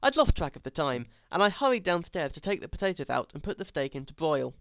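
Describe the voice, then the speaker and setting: A man's voice: a little high, sing-song, quick pace, creaky, no echo. A man narrating an audiobook as an amateur reader, sarcastic, with mocking, exaggerated emphasis.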